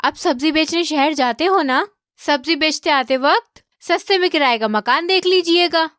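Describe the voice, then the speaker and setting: A woman playing an anxious young village wife in an animated comedy story. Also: high voice, moderate pace, dry recording, studio quality. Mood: concerned.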